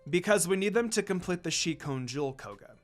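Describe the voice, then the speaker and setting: A man voicing a calm, kind young woman ally in a story reading. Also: high voice, brisk, soft background music.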